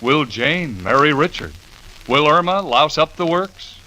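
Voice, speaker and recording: A man's voice: on the high side, expressive, a little slow, slight background noise, rough recording. A man doing scripted 1940s radio announcing on an old broadcast recording. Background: loud theme music.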